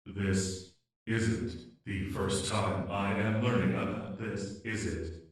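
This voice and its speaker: Weary, grieving ageing AI played by a man, slow halting delivery, audio drama, very reverberant and off-mic. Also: on the low side, steady tone. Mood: sad, resigned. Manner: slow, halting, repeats words. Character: ageing experimental humanlike AI, weary and grieving, losing memory. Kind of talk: dramatic audio roleplay monologue. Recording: very echoey, distant from the mic.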